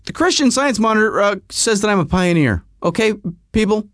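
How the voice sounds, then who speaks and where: A man's voice: high-pitched, lively intonation, fairly quick, a bit bright, dry recording, studio quality. A man hosting a podcast who tells a rambling comic story full of self-mocking asides. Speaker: podcast host.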